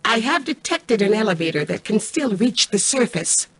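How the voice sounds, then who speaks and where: A woman voicing a cold, arrogant mainframe intelligence in a video game, speaking in a formal, measured, commanding way. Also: mid-range voice, very animated, slightly rough. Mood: calm, superior. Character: cold, arrogant female mainframe artificial intelligence, calculating and imperious. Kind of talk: scripted video game voice acting.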